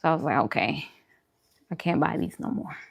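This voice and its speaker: Casual, lower-pitched woman chatting. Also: a little fast, creaky, breathy edge. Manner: informal, conversational, repeats phrases for emphasis. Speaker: host of a food and vlog channel. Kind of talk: casual eating-show chat answering viewer questions.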